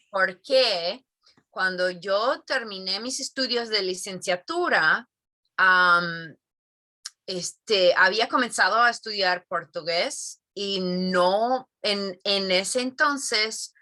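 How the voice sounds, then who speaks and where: A woman's voice: medium pitch, pushed and bright. A middle-aged woman, a Spanish teacher, giving thoughtful interview answers with many fillers and tag questions.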